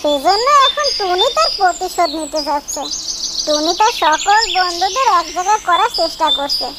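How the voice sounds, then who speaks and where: A woman narrates a children's cartoon tale in scripted storytelling style. Her voice is extremely high, and noise sits clearly behind it. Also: animated, moderate pace. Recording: clearly noisy background.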